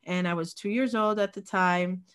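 A woman's voice: lowish voice, steady tone, moderate pace, a bit bright, smooth. A woman in her forties who grew up in Israel speaks as an interview guest. Her talk is reflective and casual, full of small restarts as she gathers her thoughts. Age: 40s. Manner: reflective, with frequent restarts and fillers. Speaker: podcast guest, community founder.

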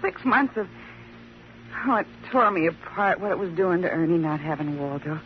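A woman's voice: fairly low pitch, expressive, moderate pace, natural voice. An actress playing a devoted, anxious American woman in an old radio drama, speaking earnestly and emotionally. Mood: grateful, pained. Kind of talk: 1950s radio drama acting. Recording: muffled, slightly noisy old radio recording.

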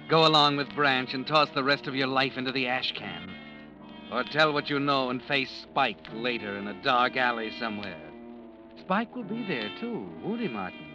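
An actor playing an ominous narrator who addresses the hero directly as a grave, accusing inner voice in an old radio drama. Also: male voice, medium pitch, animated, moderate pace, natural voice. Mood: grim, goading. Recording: dull old radio recording. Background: faint music.

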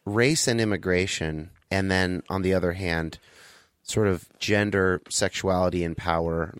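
Guy hosting a podcast, kinda low voice, earnest and measured, dry sound. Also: fairly even intonation, smooth, no echo. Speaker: podcast host. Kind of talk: podcast narration and interview.

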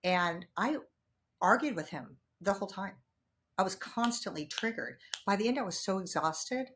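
A woman's voice: medium pitch, moderate pace. A middle-aged woman, a podcast guest, chatting casually and animatedly, acting out her own thoughts. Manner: animated, acts out her own inner dialogue. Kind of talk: casual chat.

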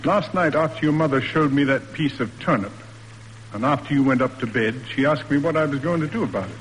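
An actor playing a stiff, proper middle-aged father in a classic radio drama, speaking in measured, roundabout formal sentences. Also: medium pitch, animated, slight background noise, lightly acted. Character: stiff, proper, reserved father in period New England. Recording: old radio recording, reverberant, music behind.

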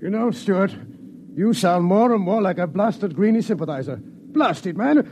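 High-pitched, blustering old colonel. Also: male voice, expressive, unhurried, darkish tone. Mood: indignant. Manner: blustering, sputtering. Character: blustering patriotic old colonel, indignant and excitable. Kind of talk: classic radio drama acting. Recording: dull, noisy old radio recording.

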